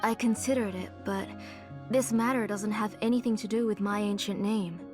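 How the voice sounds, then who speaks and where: An actress playing a weary, devoted elderly aunt who speaks in a soft, tired, measured way in a video game. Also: female voice, medium pitch, fairly even intonation, lightly acted. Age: older. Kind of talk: video game dub acting. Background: game music.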